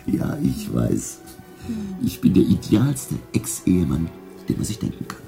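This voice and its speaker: Deep, gravelly, boastful ex-husband. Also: male voice, wildly swooping pitch, unhurried, music in the background, full character voice. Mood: self-satisfied. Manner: breezy, self-satisfied. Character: boastful, wheedling Russian ex-husband with connections. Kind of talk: German radio drama acting.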